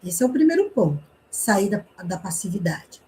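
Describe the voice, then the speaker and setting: A woman's voice: mid-range voice, very animated, normal speed, darkish tone, a little hiss or hum, poor audio. A woman teaching a communication class, explaining points with rhetorical questions and frequent tag questions. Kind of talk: online class lecture.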